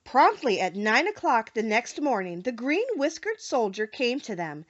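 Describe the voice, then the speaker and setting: A woman reads scripted storybook narration with a very lively, swooping delivery. Her voice is fairly high and carefully phrased, and the recording is slightly dull. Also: normal speed. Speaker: audiobook narrator.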